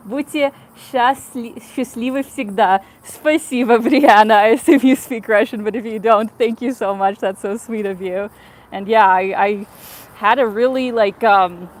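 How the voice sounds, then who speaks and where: Thirties woman, Ukraine-born vlogger; grateful, chatty, phone-held walking livestream, faint street noise behind. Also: medium pitch. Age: 30s. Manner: warm, grateful, chatty with frequent fillers. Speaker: travel vlogger. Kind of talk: casual livestream chat, reading viewer messages. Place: outdoors on a city bridge in winter.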